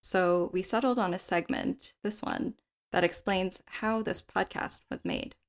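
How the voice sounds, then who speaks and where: A woman reading scripted podcast narration in a measured, reflective way. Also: mid-range voice.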